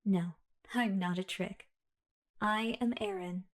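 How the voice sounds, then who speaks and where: A woman voicing a calm solemn belt AI. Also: medium pitch, lightly acted. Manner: measured, formal, solemn. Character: calm all-knowing female AI spirit in a belt. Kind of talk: fan audio drama. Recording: music bed underneath.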